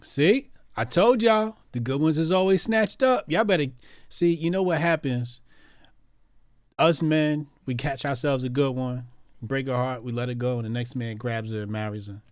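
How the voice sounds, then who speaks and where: A man with hosts a live chat room, teasing his guests in a playful, slangy way. His voice sits at a middle pitch and sounds dry and close. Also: normal speed, no echo. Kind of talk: casual live chat hosting.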